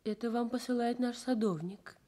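An actress in a Soviet radio drama playing a modest, caring nurse of about twenty, simple and frank in manner. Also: female voice, on the high side, normal speed, dark tone, close to an everyday voice.

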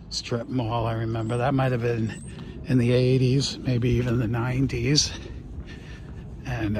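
A middle-aged man from Long Island, New York, reminiscing casually and slowly about his old neighborhood on a walking tour, recorded outdoors on a phone he carries. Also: medium pitch. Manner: slow, rambling reminiscing with fillers. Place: outdoors on a suburban street. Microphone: phone camera held while walking. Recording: outdoor traffic noise.

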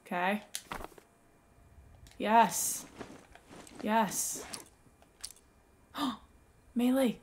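A young woman with a raspy voice, excitedly commenting while playing a video game. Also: medium pitch, very slow, pauses often, a little hiss or hum. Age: young adult. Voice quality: raspy from yelling. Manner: excitable, exclaiming at finds. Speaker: gaming YouTuber. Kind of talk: let's play commentary.

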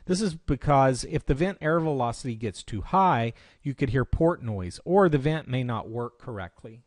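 A man presenting a software tutorial, explaining step by step in instructional screencast narration. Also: mid-range voice, lively intonation, moderate pace, no echo, clean studio sound.

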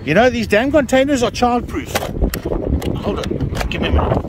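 Excitable middle-aged man on a windy pier. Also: extremely high pitch, moderate pace. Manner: chatty and excitable, talking to viewers. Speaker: fishing vlogger. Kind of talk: casual vlog narration. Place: outdoor harbour pier by the sea. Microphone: handheld or body-worn action camera. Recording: loud wind and sea noise outdoors.

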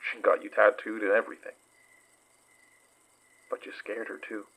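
An actor in a horror audio drama playing a gentle, determined man who speaks in a hushed, halting, soothing way with a breathy, rough edge. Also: fairly low pitch, monotone, background noise. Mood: gentle, reassuring. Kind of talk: audio drama acting, horror fiction podcast.

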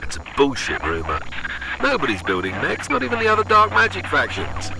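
An actor playing a scornful, dismissive internet troll in an audio drama, full of contempt. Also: male voice, mid-range voice, wildly swooping pitch, a little fast, creaky. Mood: sneering. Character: sneering, contemptuous male internet troll watching a livestream. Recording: noisy voice-chat ambience.

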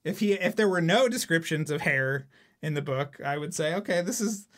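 A man's voice: on the high side, lively intonation, moderate pace, fairly bright tone. A man co-hosting a podcast with playful, wry casual banter. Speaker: podcast co-host.

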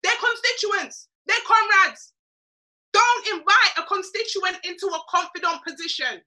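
High, bright-voiced Jamaican woman mentor. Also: normal speed, low-quality recording. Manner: emphatic, preachy, repeats lines for effect. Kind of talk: motivational webinar talk. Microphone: webcam on a video call.

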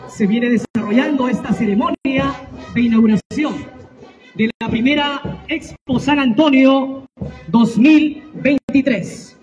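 A man serving as master of ceremonies, announcing a formal outdoor ceremony over loudspeakers in a slow, drawn-out way. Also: very high voice. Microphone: public address system heard from a distance. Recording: distant, dull PA sound with music.

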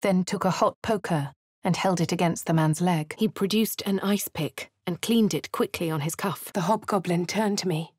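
A cool, self-assured young adventurer with a posh English accent, recorded on a close studio mic in a dry booth. Her voice sits fairly low for a woman, and she delivers lines crisply with a dry, wry edge. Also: moderate pace, a little mellow, no echo. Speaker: player character. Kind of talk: video game voice lines.

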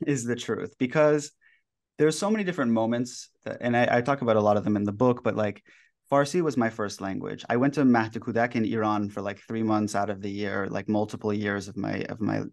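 A man's voice: on the low side, moderate pace, vocal fry. Young man chatting loosely on a video call. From United States. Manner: relaxed, loose, with many fillers and restarts. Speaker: guest author. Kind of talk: casual interview talk. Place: bright room at home.

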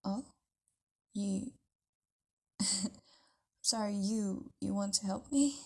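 A woman playing a caring, tender partner in an intimate audio roleplay, talking casually in a soft, hesitant way with breathy near-whispers. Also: medium pitch, drawn-out words, heavily acted. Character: caring, tender live-in partner of an amnesiac lover.

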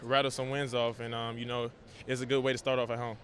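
Quiet, quick young male player. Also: medium pitch, little pitch movement. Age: young adult. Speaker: college basketball player. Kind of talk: post-game interview answers. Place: basketball arena courtside.

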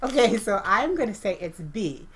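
A woman's voice: mid-range voice, very animated, normal speed, background noise. A middle-aged woman co-hosting a live quiz show, chatty and giggly, thinking her answers through aloud at a desk. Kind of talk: casual quiz banter. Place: indoor room with bookshelves.

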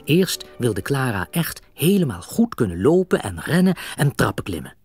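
A man's voice: mid-range voice, sing-song, normal speed, a little mellow, slightly rough, faint music behind. A man narrating a scripted children's story.

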